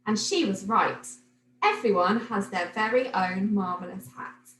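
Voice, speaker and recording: A woman reading a children's picture book aloud like a teacher, in an expressive, animated storytelling manner. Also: mid-range voice, measured pace. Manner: animated, clearly paced storytelling for young children. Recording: roomy echo, noticeable background noise.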